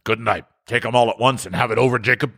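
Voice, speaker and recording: A man's voice: lowish voice, very animated, normal speed, creaky, sharp tone, breathy edge, exaggerated voice. A man voicing a frightened, pleading old miser who speaks in a hesitant, faltering way. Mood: nervous.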